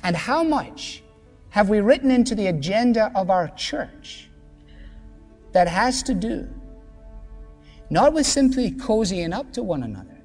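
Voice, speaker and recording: A man's voice: sits high, sing-song, a little slow, long pauses, a little mellow, long reverb tail, faint music behind. A man preaching a sermon as pastor, emphatic and full of rhetorical questions.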